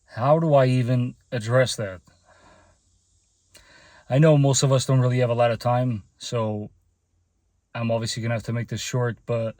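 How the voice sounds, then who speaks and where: A man in his forties with a Portuguese accent, English being his second language, speaks straight to his viewers. His voice sits at an ordinary middle pitch, and his manner is sincere and a little halting, with frequent pauses and fillers. The recording is dry, with no room echo. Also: a little mellow. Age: 40s. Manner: earnest, grateful, hesitant with fillers. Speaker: channel host and mechanic. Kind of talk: casual heartfelt talk to camera.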